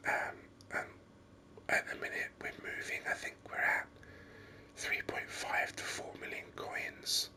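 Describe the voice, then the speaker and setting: A man hosting a gaming video in a breathy ASMR whisper, rambling along unhurriedly close to the microphone. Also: a little slow. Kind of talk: ASMR whispered game commentary.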